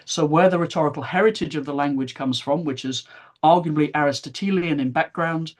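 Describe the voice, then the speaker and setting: Woman, lowish voice, academic talk, explains stuff at length w emphasis on key bits. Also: brisk. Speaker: university lecturer presenting. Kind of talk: academic presentation with slides.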